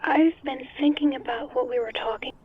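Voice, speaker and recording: An actress playing a soft-voiced, tender and concerned woman leaving a voice message through a phone speaker in an audio drama. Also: high-pitched, fairly even intonation, normal speed, slightly rough. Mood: gentle concern. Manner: tender, worried. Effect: phone speaker filter. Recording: thin muffled phone-speaker sound.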